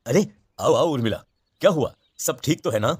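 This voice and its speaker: A man's voice: medium pitch, lively intonation, moderate pace, darkish tone, husky. A man playing a grave old village priest in a horror cartoon, solemn and emphatic as he gives warnings. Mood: concerned welcome. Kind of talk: Hindi horror cartoon voice acting.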